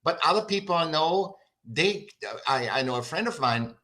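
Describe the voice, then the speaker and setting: A man's voice: a little high, animated, moderate pace, sharp tone. A middle-aged man speaking as a guest artist in an interview, emphatic and rambling, often restarting his sentences. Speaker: guest artist and creativity educator. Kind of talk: casual interview talk.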